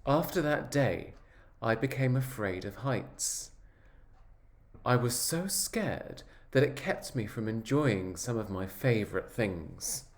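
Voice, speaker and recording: Man as earnest male egg, wistful, reflective, unhurried pace, medium pitch, picture-book storytelling. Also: animated. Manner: measured, reflective first-person storytelling. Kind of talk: scripted picture-book narration.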